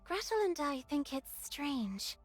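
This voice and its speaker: A small, sweet girl from an anime game, timid and full of curiosity. The voice is extremely high and drawn out slowly. Soft game music sits behind it. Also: big pitch swings, heavily acted. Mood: wondering.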